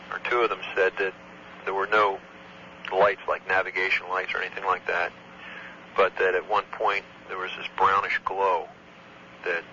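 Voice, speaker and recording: Male air traffic controller, very forceful bright tone, halting factual delivery, lo-fi phone recording with faint hum. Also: sits low. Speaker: air traffic controller, tower area supervisor. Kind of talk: phone report of an incident, conversational eyewitness account.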